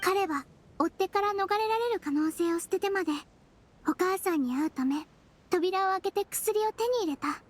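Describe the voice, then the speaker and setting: A woman voicing a small, composed, kindly nonhuman head nurse in an anime-style game, gentle and matter-of-fact. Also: very high-pitched, moderate pace. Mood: reassuring.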